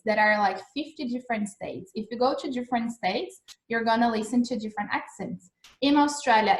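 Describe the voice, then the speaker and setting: A young Brazilian woman teaching English over a video call, her slightly high voice friendly and talkative. She keeps checking in with her listeners as she explains. The sound is roomy, with a noticeable echo. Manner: chatty and encouraging, with frequent "okay?" checks. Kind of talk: livestreamed English lesson. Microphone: video call recording.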